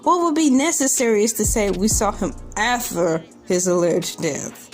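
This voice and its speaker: A woman's voice: mid-range voice, very animated, moderate pace. A woman hosting a casual, comedic commentary on a news article, with incredulous, joking and rambling asides. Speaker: video host. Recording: music bed under the voice. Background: background music.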